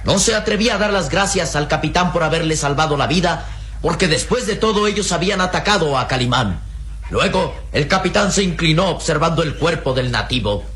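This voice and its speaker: A man narrating an adventure radio serial in a dramatic, measured way. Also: on the high side, lively intonation, fairly bright tone, slight background noise.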